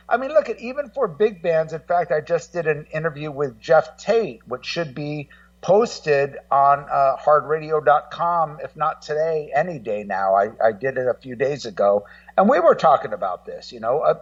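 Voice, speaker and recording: A middle-aged American man talking casually as a guest co-host in a radio discussion, in a rambling, halting way full of "you know". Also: on the high side, far from the mic, highs cut off. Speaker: guest co-host and rock music journalist.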